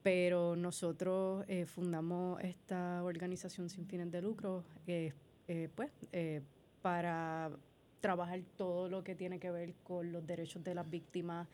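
A woman from Puerto Rico with a Puerto Rican accent, a nonprofit founder speaking as a radio guest in a friendly, quick way. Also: fairly low pitch, little pitch movement, darkish tone, a little hiss or hum. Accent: Puerto Rican Spanish.

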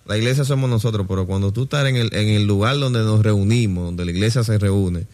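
A Dominican man with a fairly low voice talks in a casual group discussion. He tells things as stories and throws in rhetorical questions to the others. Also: a little fast, darkish tone. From Dominican Republic.

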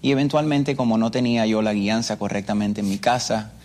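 A man's voice: lowish voice, fairly even intonation, brisk, a little mellow. Earnest Cuban man telling his testimony. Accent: Cuban Spanish. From Cuba. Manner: earnest, storytelling, repeats phrases. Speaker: interview guest. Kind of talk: personal testimony.

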